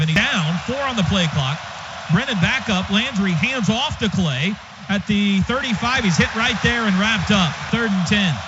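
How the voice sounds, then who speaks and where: A man doing live play-by-play radio commentary on football in clipped running description. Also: high-pitched. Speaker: play-by-play announcer. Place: stadium radio booth. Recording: dull radio broadcast, stadium noise behind. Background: clear crowd and stadium noise.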